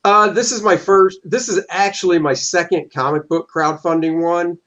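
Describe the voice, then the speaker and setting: A man's voice: sits high, steady tone, normal speed, fairly bright tone. A middle-aged man, a comic book artist, answering interview questions in an easygoing way and explaining at length.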